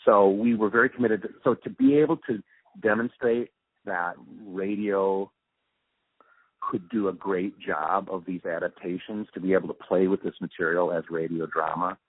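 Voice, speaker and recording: Older guy, really low voice, thoughtful n halting interview answers, sounds like a tinny phone call. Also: fairly even intonation, slightly rough, no echo. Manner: halting, thoughtful, pausing mid-sentence. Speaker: radio drama sound designer and producer being interviewed. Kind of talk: reflective interview talk. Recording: muffled phone-like narrowband line.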